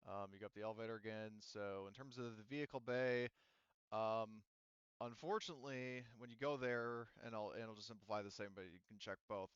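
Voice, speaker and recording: A man with a smooth, clear voice runs a tabletop roleplaying game. He explains casually and haltingly, thinking aloud as he goes. The recording is dry and a little dull. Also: lowish voice, fairly even intonation, a bit bright, dry recording. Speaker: game master.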